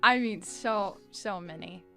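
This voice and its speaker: A woman's voice: medium pitch, slow, sharp tone, soft background music. A woman in her twenties from the United States, answering interview questions candidly with lots of fillers. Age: 20s. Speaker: guest.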